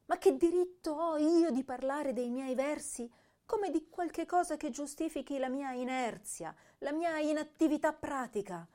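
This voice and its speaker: A woman's voice: high voice, measured pace. An actress playing an intense, troubled young woman poet of 1930s Italy, reading diaries and verse expressively in a radio drama. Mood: anguished self-doubt. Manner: expressive, introspective.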